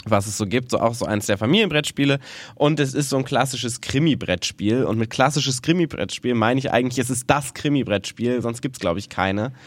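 A man's voice: mid-range voice, fairly quick, dry recording. Male podcast host with a cold, playful and joking, dry close sound. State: stuffy with a cold. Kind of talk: casual chat.

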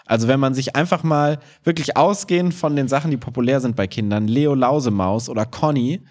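Mann mit mittlerer Stimmlage im Podcast-Plausch. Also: male voice, medium pitch, fairly quick, a little mellow. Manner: informal, explanatory. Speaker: podcast co-host, improv performer. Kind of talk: casual conversational podcast talk.

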